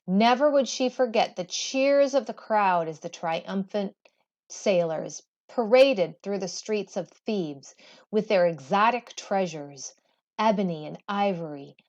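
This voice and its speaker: A woman teacher reading a storybook aloud with expressive, deliberate, emphatic phrasing. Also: mid-range voice, muffled recording.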